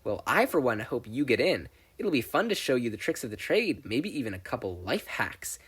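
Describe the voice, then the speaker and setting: A narrator acts out a teenage girl inventor for an audio fan story. She is brash, blunt and very sure of herself, with emphatic, swooping delivery and a slightly rough, husky edge. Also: mid-range voice, sing-song, moderate pace, fairly bright tone, full character voice. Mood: eager, blunt.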